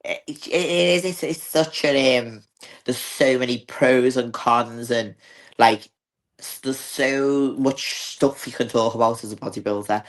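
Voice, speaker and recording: A woman's voice: rumbling low, measured pace. A woman with a Liverpool accent, chatting as a guest in an interview and filling her talk with "like". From north of England. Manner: chatty, many fillers like "like". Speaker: bodybuilder guest. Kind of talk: casual interview chat.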